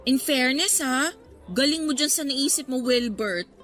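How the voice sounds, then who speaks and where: Filipino college girl, radio drama banter, high bright voice, girlish n teasing. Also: lively intonation, unhurried, faint music behind. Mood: teasing, amused. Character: Kate, young female university theater student, good-natured, teasing. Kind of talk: Philippine radio drama acting.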